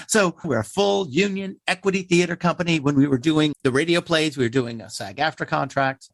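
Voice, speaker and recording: A man with a fairly high voice talks in a very lively, swooping way. He is a playwright answering interview questions, emphatic and wry, slipping into imagined quotes. The sound is dry and close. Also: dry recording. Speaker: theater company playwright being interviewed. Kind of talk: animated conversational interview answers.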